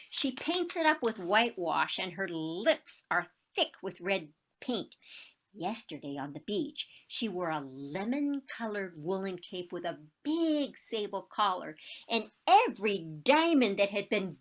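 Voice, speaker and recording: An actress playing an excitable older society lady and diet faddist, gushing and theatrical in a stage comedy over a video call. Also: medium pitch, measured pace. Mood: emphatic.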